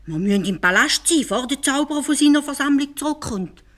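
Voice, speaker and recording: A woman's voice: high voice, lively intonation, fast, fairly bright tone, voice pushed up. A woman voicing a caliph from a fairy tale, reluctant and exclaiming, in Swiss German. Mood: overjoyed. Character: reluctant, grumbling caliph turned stork.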